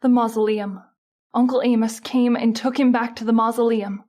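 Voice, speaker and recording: A woman's voice: fairly high pitch, fairly even intonation, normal speed, a little mellow, natural voice. An actress playing a frightened, anxious woman in an old-time radio horror mystery.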